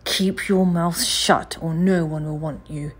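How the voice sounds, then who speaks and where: A woman's voice: fairly low pitch, fairly even intonation, measured pace, breathy edge. A woman voicing a stern, pompous, bullying male workhouse official in a storybook, bossy and threatening. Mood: angry.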